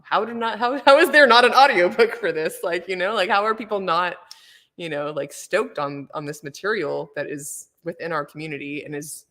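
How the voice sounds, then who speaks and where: A woman chatting casually with a low voice, rambling with lots of fillers and laughing often. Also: moderate pace, bright, forceful tone, long reverb tail. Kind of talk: casual chat.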